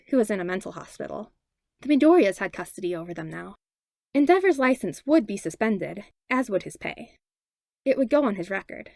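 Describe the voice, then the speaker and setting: A woman narrates a story expressively, her pitch moving around a lot. There is noticeable fry in her voice, and the sound is dry and close. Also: darkish tone, no room sound. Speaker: podfic narrator.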